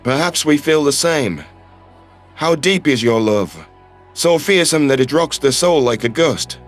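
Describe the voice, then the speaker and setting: A man's voice: on the high side, expressive, unhurried, soft background music, voice pushed down. A man voicing a playful, romantic human ambassador who speaks with shy self-deprecation in a sci-fi audio story. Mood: romantic, bashful.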